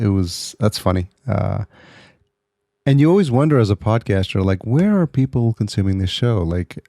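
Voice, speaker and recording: A man hosts a podcast interview, speaking in a very low voice. He talks in a friendly but halting way, with many restarts. The sound is dry and close, with no room echo. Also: creaky, warm tone. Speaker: podcast host. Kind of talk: casual interview chat.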